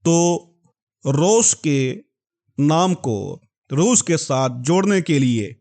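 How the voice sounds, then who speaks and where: Earnest man explaining scripture slowly. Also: fairly high pitch, big pitch swings, darkish tone. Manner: slow, deliberate spelling-out of words; earnest, addresses listeners as "my dear ones". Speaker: Bible teacher. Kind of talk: explanatory Bible lesson.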